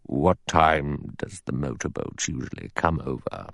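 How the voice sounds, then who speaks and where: A man voicing a grave, elderly judge in an audiobook, pontificating slowly and formally. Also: extremely low pitch, lively intonation, gravelly, a bit bright, no echo, studio quality, pitched below the performer's own voice, full character voice. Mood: stern. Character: grave elderly male judge, measured and stern.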